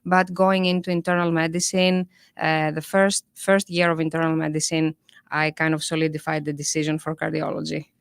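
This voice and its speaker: A woman with a Greek accent explains medical topics in a careful, measured way, pausing and restarting as she goes. Her voice sits a little low for a woman. The sound is dry and close. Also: little pitch movement, clean tone, dry recording. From Greece. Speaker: cardiologist guest. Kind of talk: interview conversation.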